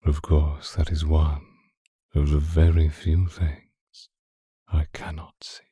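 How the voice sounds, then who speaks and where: Man playing a very deep, breathy seductive vampire. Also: monotone, no echo, studio quality, heavily acted. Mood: teasing, possessive. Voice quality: very deep, breathy, husky, often whispering. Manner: slow, deliberate, hushed, formal wording. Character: seductive male vampire stalker, obsessive and predatory. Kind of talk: ASMR roleplay monologue, intimate and close. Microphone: close microphone, dry sound.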